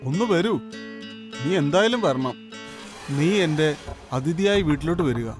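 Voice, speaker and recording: A man voicing a brash male thief who boasts and coaxes in an animated storybook, over music. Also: on the high side, a little slow. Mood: cocky. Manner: boastful, coaxing. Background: background music.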